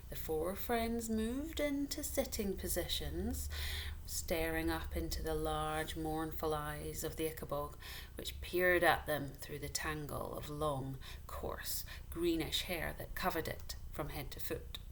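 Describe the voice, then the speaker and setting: A woman reading a children's story aloud, deliberately and with dramatic pauses. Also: low voice, slight background noise, poor audio. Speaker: story reader.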